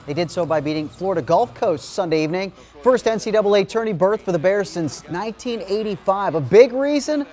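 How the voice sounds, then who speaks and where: A man with an American accent delivering a punchy, emphatic TV sportscast over highlights. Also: a little mellow. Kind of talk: TV sportscast commentary, scripted narration over highlights.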